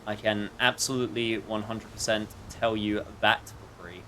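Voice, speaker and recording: A man's voice: lowish voice, steady tone, normal speed, pushed and bright, slight background noise, poor audio. A man livestreaming a video game with casual chat and wry, joking, sometimes sweary commentary.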